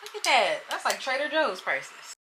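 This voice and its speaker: Bright-voiced chatty woman in her thirties. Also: high-pitched, huge pitch swings, normal speed, slight background noise. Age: 30s. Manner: chatty, candid, laughs often. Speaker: vlogger. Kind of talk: casual vlog chat.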